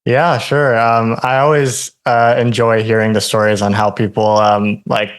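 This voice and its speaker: A young man from Hawaii, a podcast guest telling his story in a relaxed way, full of ums and likes. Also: fairly low pitch, unhurried, clear, resonant tone. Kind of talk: casual interview chat.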